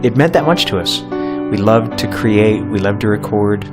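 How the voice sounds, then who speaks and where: A man in his late twenties with a low voice talks over clear guitar music. He is reflective and earnest, reminiscing in a documentary interview style. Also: normal speed. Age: late 20s. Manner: nostalgic, earnest. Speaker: audio drama creator. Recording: music playing behind.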